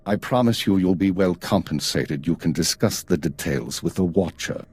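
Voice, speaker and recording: An actor playing a stern, weary intelligence chief in a video game, formal, clipped and measured. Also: male voice, deep voice, darkish tone, husky. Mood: curt, formal. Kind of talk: video game cutscene acting.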